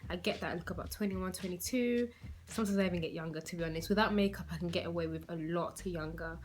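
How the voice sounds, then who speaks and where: A woman's voice: lowish voice, fast, slight background noise. A woman in her twenties from London, with a London accent, chatting casually to her viewers in a rambling way, full of "like" and "you know". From London, England. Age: 20s. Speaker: beauty vlogger.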